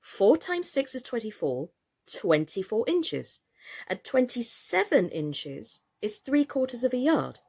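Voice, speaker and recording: A woman reads a children's storybook with a fairly high voice. She goes slowly and deliberately, with lively, expressive rises and falls to carry the story. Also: vocal fry, husky. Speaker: storyteller, actress reading aloud.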